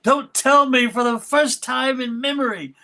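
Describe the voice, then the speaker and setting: A woman's voice: sits high, a little slow, pushed and bright, voice pushed up, exaggerated voice. A woman playing a hearty, effusive aristocrat in a comedy sketch, with a jovial and grandly theatrical manner.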